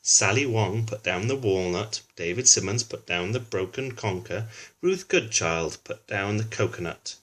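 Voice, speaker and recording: Low-pitched man reading a children's storybook. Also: lively intonation, measured pace, a bit bright. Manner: addresses young listeners directly. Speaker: children's book reader. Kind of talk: storybook narration with chatty asides.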